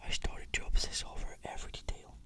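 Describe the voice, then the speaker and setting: A woman whispering fluent, measured, scripted answers as the patient in an ASMR therapy roleplay. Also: pushed and bright.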